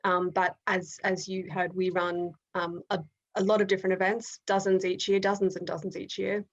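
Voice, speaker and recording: A woman's voice: medium pitch, level tone, normal speed, highs cut off. Australian woman hosting with frequent ums. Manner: frequent ums, self-correcting restarts. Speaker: event host. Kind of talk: online event introduction. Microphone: video call.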